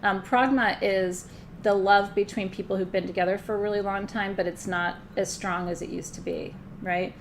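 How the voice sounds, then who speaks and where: Chatty woman teacher with gravelly fry. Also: medium pitch, steady tone, moderate pace, noisy background. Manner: chatty, often checks in with the listener; loose, frequent fillers and restarts. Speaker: spiritual teacher leading a class. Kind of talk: conversational live class lecture.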